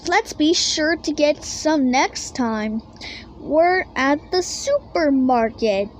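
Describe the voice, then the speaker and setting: A woman's voice: high voice, expressive, takes it slowly, slight background noise. A woman voicing a blustery, snack-loving father bear in a children's storybook, full of exclamations. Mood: agreeable.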